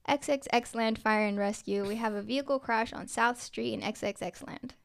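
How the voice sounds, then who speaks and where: A woman's voice: fairly high pitch, normal speed, creaky. A woman voicing an official emergency dispatcher on a police scanner in a story, formal and procedural. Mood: official, urgent.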